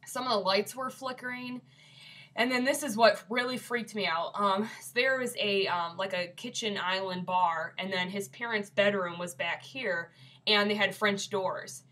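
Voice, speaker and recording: A woman's voice: mid-range voice, moderate pace, bright, forceful tone. A young woman with a congested, stuffy voice, chatting casually and rambling with lots of fillers as she tells a ghost story to a webcam. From Michigan. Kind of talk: casual video submission.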